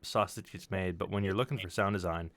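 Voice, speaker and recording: Low-voiced man chatting on a stream. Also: fairly even intonation, fairly quick, a bit bright, dry recording. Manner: self-deprecating, joking. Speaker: podcast stream host and sound designer. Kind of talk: casual live stream chat.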